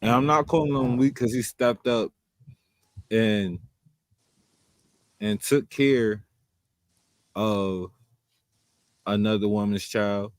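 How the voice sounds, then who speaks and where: A man's voice: lowish voice, takes it slowly, pauses often, no room sound. A man talking casually and bluntly on a podcast, profane and repeating his points for emphasis. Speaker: podcast host. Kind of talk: casual chat.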